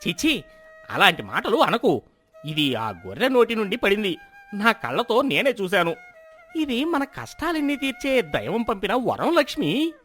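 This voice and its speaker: A man playing an excitable, proud village farmer in expressive moral-story dialogue. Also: high voice, normal speed, soft background music, full character voice. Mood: hushed, insistent. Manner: expressive, exclaiming.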